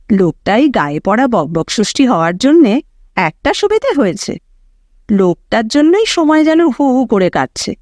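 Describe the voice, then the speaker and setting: A woman narrating a story as an audiobook reader, in an expressive, dramatic way that brings out the characters' thoughts. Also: mid-range voice, no room sound, clean studio sound.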